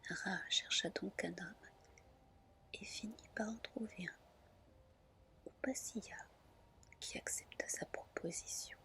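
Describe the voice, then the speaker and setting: A woman reading a tale aloud in a soft, soothing ASMR style, with deliberate phrasing. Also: mid-range voice, fairly even intonation, gravelly. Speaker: storyteller, ASMR reader.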